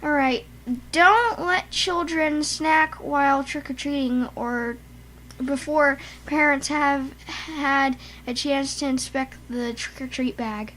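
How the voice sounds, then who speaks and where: A woman co-hosting a casual show, rambling and halting with frequent restarts. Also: sits high, steady tone, fairly bright tone, low-quality recording. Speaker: podcast co-host. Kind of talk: casual chat.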